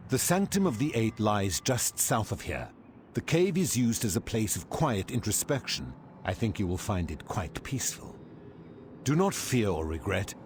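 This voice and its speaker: An actor playing a calm, gentle male healer in a game cutscene, speaking slowly in a soothing, measured way. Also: lowish voice, slight background noise. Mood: gentle, solemn. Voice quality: slow, soothing, measured delivery.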